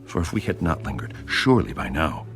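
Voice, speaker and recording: A man's voice: rumbling low, sing-song, brisk, creaky, soft background music, heavily acted. An actor playing a solemn, earnest grown son in a Bible audio drama, grave and emphatic in his delivery. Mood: earnest pleading.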